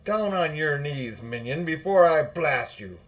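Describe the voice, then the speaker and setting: A man's voice: fairly high pitch, expressive, measured pace. Imperious storybook witch queen, proud and violent, barking haughty orders played by a male reader. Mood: commanding, threatening.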